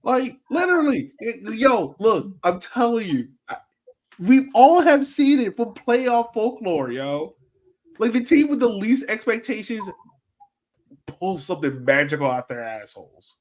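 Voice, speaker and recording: Animated, argumentative soccer podcast panelist. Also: mid-range voice, unhurried, a little hiss or hum. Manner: argumentative, repeats points emphatically, slangy. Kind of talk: animated casual sports debate.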